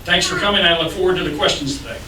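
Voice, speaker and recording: A man giving a measured, businesslike opening statement as a candidate at a public debate, with frequent filler sounds. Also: a little high. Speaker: city commission candidate. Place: public library meeting room. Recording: echoey room, distant mic, noisy.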